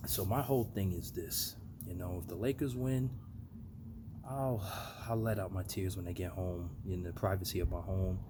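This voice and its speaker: A man's voice: deep voice, steady tone, moderate pace. An American man talking earnestly and reflectively to his viewers in a casual motivational monologue. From United States. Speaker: vlogger. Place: outdoor backyard. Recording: noisy amateur recording with ambient noise.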